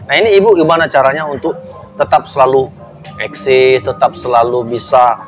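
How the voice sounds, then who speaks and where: A man's voice: mid-range voice, fairly even intonation, muffled recording. A man hosting a casual food-tasting interview, chatty and joking. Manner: chatty, joking, lots of quick backchannel. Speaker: food show host. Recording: music playing behind.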